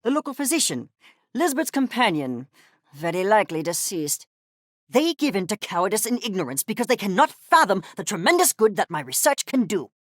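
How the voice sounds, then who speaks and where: Haughty, swooping creature scientist. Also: female voice, mid-range voice, big pitch swings, brisk, no room sound, clean studio sound. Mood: aloof. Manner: precise, haughty, clipped academic diction. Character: pompous, obsessive fuzzy creature scientist, coldly curious and disdainful. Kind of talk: video game character voice lines.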